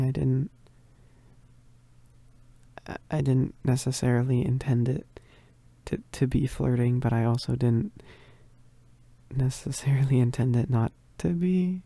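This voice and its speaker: A woman playing a shy, flustered young werewolf roommate in a romantic ASMR roleplay, halting and stammering in a soft, breathy voice, often whispering, close to the mic. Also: rumbling low, fairly even intonation, no echo, full character voice. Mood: nervous, confessing. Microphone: close microphone.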